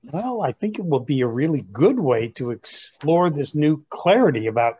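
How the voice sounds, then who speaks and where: Husky, excitable young grandson, acted by a man. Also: a little high, lo-fi sound. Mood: enthusiastic. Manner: eager, halting, placating. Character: earnest, self-absorbed, excitable 23-year-old grandson. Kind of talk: staged reading of a comic play.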